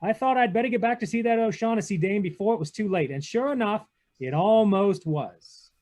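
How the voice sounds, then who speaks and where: An actor playing a wry, impatient private detective in a 1940s radio drama, terse and sardonic. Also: male voice, sits high, expressive, fairly quick, darkish tone. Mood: wry narration. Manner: terse, sardonic, hard-boiled. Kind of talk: 1940s radio drama read-through with narration. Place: video call read-through.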